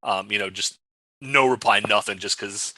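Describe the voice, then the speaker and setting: American guy hosting a podcast, bright forceful voice, easygoing, lots of you knows, dry sound. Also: on the low side, normal speed, no echo. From United States. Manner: relaxed, friendly, many "you know" fillers. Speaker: podcast host. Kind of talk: casual chat.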